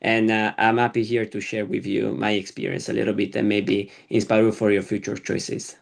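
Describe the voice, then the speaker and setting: Gravelly young Italian man. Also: fairly low pitch, little pitch movement. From Italy. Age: young adult. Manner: friendly, with hesitant pauses. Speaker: student panelist. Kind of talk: introducing himself on a webinar.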